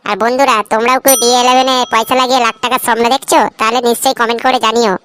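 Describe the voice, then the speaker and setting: Woman doing a sneering local big-brother cartoon tough, west bengal accent, sweary, quick, noisy background. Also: fairly high pitch, level tone, a bit bright, close to an everyday voice. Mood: teasing. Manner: scornful, foul-mouthed, scolding. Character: mocking male neighborhood tough, scolding elder brother figure. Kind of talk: comic cartoon dialogue.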